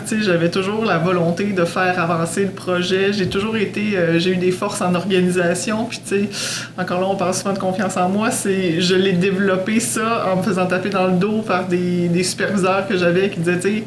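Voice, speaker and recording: A woman with a Quebec French accent speaking candidly as a panel guest, quick with a few fillers. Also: fairly low pitch. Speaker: panel guest, geophysics company president. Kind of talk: conversational interview. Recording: echoey room with background noise.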